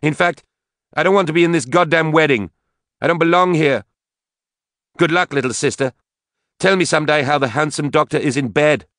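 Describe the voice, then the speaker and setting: A man's voice: on the high side, a little fast, long pauses, no echo, studio quality, voice pushed up, close to an everyday voice. A man voicing a drunk, defiant, bitter young woman in an audiobook, sarcastic and theatrical. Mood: bitter, rejecting. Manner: sarcastic, theatrical, loud declaiming.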